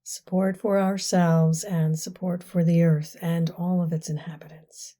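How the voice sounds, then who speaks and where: A woman guiding a meditation in a soft, slow voice that often drops to a whisper, with long pauses between phrases. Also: fairly low pitch, little pitch movement. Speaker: meditation guide. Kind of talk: guided meditation.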